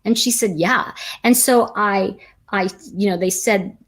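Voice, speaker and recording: Chatty, husky American woman. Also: mid-range voice, measured pace, fairly bright tone. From United States. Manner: chatty, rambling with many restarts and fillers. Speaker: tarot teacher and host. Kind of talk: casual storytelling livestream.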